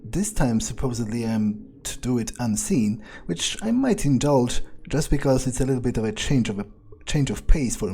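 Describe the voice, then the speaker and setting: A man role-playing a ruthless, casually murderous vampire thinking aloud in improvised game commentary, musing, hesitant and foul-mouthed, with dark amusement. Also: mid-range voice, husky. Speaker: gaming streamer role-playing his character. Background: game music.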